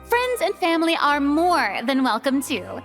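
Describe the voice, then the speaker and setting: A woman's voice: sits high, big pitch swings, a little slow, a bit bright, faint music behind, full character voice. A woman playing a perky, enthusiastic young girl in an English anime game dub. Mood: eager, cheerful. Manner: enthusiastic, peppy.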